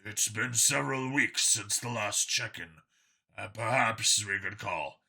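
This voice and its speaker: Male narrator playing obedient satyr soldier, formal, hesitant, measured, husky edge, audiobook henchman. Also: fairly low pitch, bright, forceful tone, pitched below the performer's own voice, exaggerated voice. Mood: hesitant, deferential. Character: deferential alien satyr soldier, obedient underling. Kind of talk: audiobook character voice.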